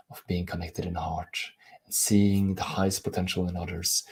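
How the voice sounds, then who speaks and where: A man with a Norwegian accent, hosting a live stream as a coach, speaking earnestly and gently while reading out viewer comments. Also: low-pitched, breathy edge. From Norway. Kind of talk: live-stream teaching and chat.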